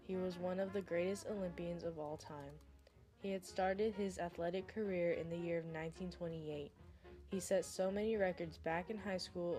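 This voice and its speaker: A young woman presenting a sports segment as scripted narration, with music behind her. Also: on the low side.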